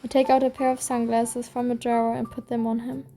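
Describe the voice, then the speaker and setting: A woman reading a game's story aloud in a steady first-person voice. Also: on the high side, a little fast. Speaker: reader.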